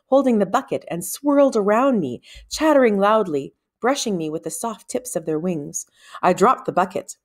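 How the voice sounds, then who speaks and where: A woman narrating a story aloud in an expressive, deliberate storybook style with dramatic phrasing. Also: mid-range voice.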